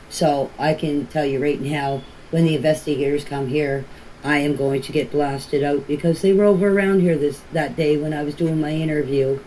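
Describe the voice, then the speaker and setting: A woman's voice: sits low, a little mellow. An older woman talking casually in rambling, plain-spoken voice messages, often repeating herself. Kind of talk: casual personal voice messages to a group chat. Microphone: phone voice messages. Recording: noisy amateur voice message.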